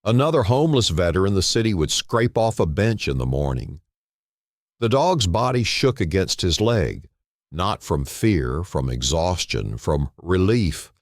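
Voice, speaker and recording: A man narrating a dramatic story in a solemn, deliberate way, in short phrases with dramatic pauses. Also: sits low, sing-song, no room sound, clean studio sound.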